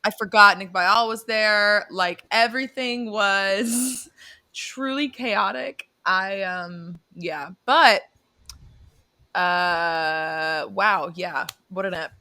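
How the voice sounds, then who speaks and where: A woman's voice: mid-range voice, drawn-out words, sharp tone. A young woman co-hosting a podcast, chatting casually and animatedly, laughing often and swearing now and then. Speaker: podcast co-host. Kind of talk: casual chat.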